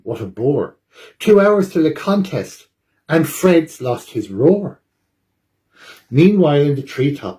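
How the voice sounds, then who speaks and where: A man reads a rhyming picture book for children as a storytime reader. His voice sits at an average pitch and he delivers each line with expressive drama.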